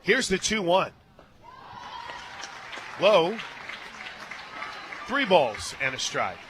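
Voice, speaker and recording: Male radio play-by-play voice, slightly high, live softball, clipped calls and chatty asides, stadium crowd behind. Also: sing-song, extremely slow. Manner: clipped pitch calls mixed with conversational asides. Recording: live stadium booth, clear crowd noise.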